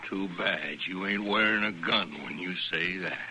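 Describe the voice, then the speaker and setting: An actor playing a menacing, blustering cattleman in an old-time radio western, sneering in rough rustic speech. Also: deep voice, measured pace. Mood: belligerent. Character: blustering, menacing cattleman father, grown man. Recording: old radio recording, faint hiss.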